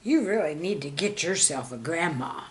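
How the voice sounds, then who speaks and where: A woman's voice: low voice, lively intonation, moderate pace. A woman storyteller voicing an earnest, cheeky schoolboy who explains his ideas emphatically and matter-of-factly. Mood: confident, advising.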